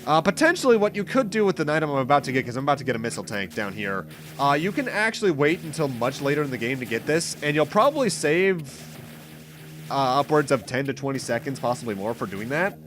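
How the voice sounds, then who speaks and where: A man's voice: mid-range voice, big pitch swings, quick pace, a bit bright. A man giving casual, rambling let's play commentary in a chatty, mildly wry way. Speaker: gaming commentator, host. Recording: game music behind the voice. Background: video game music.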